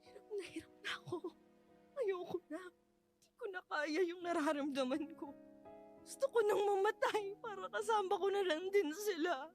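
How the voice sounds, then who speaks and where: A woman's voice: very high-pitched, moderate pace. A woman acting a grief-stricken, guilt-ridden young widow in a radio drama, crying and pleading in a Filipino accent. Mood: anguished, despairing. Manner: tearful, pleading delivery with repeated broken phrases. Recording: studio voice over prominent dramatic music.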